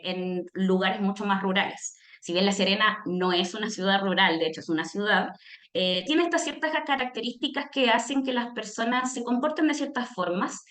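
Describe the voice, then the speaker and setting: A woman with a Chilean accent giving a personal talk in a reflective, explanatory way, often pausing on filler sounds as she thinks. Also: medium pitch, fairly bright tone, roomy sound. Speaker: speaker, social worker giving a talk. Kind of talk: personal testimony in a presentation.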